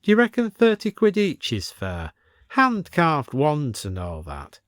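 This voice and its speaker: A practical British village woman, played by a male narrator, casual and matter-of-fact. The voice is lifted in pitch for the storybook and sounds close and dry. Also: wildly swooping pitch, measured pace, no room sound. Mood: chatty. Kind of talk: audiobook character voice.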